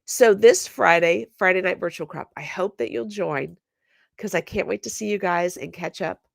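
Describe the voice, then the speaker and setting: A woman's voice: lowish voice, normal speed, creaky, no echo, clean studio sound. A woman hosting her scrapbooking video, chatting casually with viewers while stuffed up from a cold, full of ums and apologies. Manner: chatty, apologetic, lots of fillers. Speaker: scrapbooking channel host.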